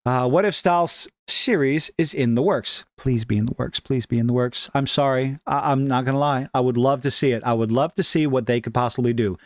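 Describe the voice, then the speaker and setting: A man's voice: mid-range voice, brisk, few pauses, no room sound. Man giving wry, casual commentary, dry close sound. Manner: opinionated, wry, informal. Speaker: commentary show host. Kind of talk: casual commentary, reading and reacting to online posts.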